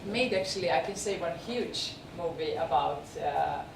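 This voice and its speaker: A woman with a Serbian accent hosting a film festival, speaking unscripted and haltingly as she searches for words. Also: sits low, creaky. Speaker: film festival host introducing films and guests. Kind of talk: unscripted spoken introduction and interview. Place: echoey screening venue. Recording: echoey, noisy room, far from mic.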